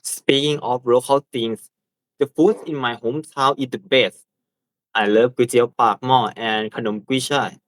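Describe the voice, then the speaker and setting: A man's voice: mid-range voice, steady tone, a little slow, fairly bright tone, no room sound, poor audio. A nineteen-year-old man with a Thai accent, reading a scripted class presentation and prompting his classmates. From Thailand. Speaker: student presenter.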